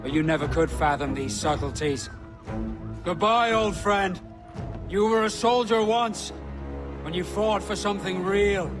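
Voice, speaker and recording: An actor playing a proud pirate captain turned turncoat in a video game, with pointed seafaring speech. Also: male voice, high voice, measured pace. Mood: taunting, bitter. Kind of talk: video game cutscene acting. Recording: game music behind.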